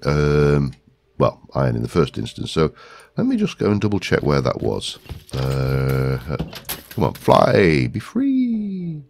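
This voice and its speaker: A man's voice: extremely low pitch, huge pitch swings, slow pace, creaky, a little mellow. A man with a stuffy head cold, hosting a gaming video with casual, rambling commentary and lots of ums. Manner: rambling, talks to himself while searching, many ums.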